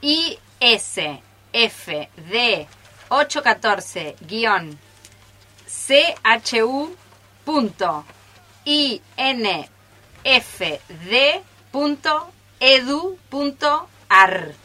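A woman's voice: on the high side, big pitch swings, very slow, pushed and bright. A woman with an Argentine Spanish accent chatting casually on live radio. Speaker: theatre workshop teacher. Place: radio studio, live broadcast.

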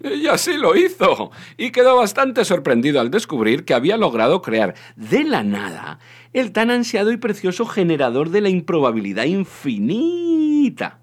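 A man's voice: high-pitched, wildly swooping pitch, moderate pace. A man with an accent from Spain, a co-host reading comic fiction aloud in a theatrical, playful way. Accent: Spanish from Spain. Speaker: show co-host and reader. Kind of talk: expressive reading of a comic science fiction novel.

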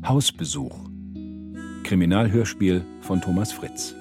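A man's voice: lowish voice, animated, unhurried, creaky. A man reading scripted radio drama credits as an announcer. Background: guitar music.

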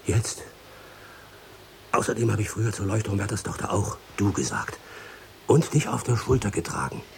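Tiefer, knarriger, ergebener alter Kutscher. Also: male voice, lowish voice, very animated, normal speed, vocal fry, slight background noise. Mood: hushed pleading. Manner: hushed, pleading, intimate. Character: devoted old coachman, longtime family servant in love. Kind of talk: radio drama acting.

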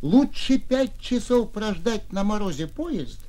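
A male actor narrating a short story for radio. His fairly high voice is highly animated, and he tells the tale in an expressive, theatrical way. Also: takes it slowly.

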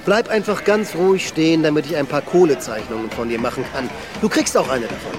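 An actor playing a talkative, self-satisfied, theatrical street portrait artist in a radio drama. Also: male voice, a little high, lively intonation, a little fast, natural voice. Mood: coaxing. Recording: music behind the voice.

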